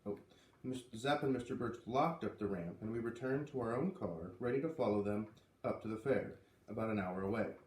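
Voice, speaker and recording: A man's voice: fairly low pitch, a little fast, a little mellow, far from the mic, slight background noise. A man reading a children's storybook aloud expressively, acting out the dialogue lightly. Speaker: reader of a children's book read-aloud series. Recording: roomy, voice away from the microphone.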